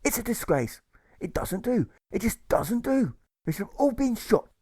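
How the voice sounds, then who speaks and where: A man puts on an outraged gossiping townswoman from a storybook. The voice climbs far above his normal pitch, shrill and emphatic with indignation, in a very dry recording. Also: sing-song, moderate pace, darkish tone, breathy edge, no echo, full character voice. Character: indignant, gossiping female money changer.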